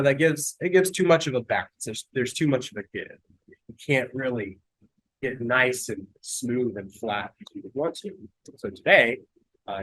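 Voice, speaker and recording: A man's voice: medium pitch, husky, no echo, poor audio. A young man from North Carolina giving a casual art demonstration, sounding nasal from a cold and chatting loosely with lots of fillers, recorded in his studio over earbuds. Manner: relaxed, chatty, with many fillers and restarts. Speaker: mixed-media artist giving a demo. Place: home art studio, indoors.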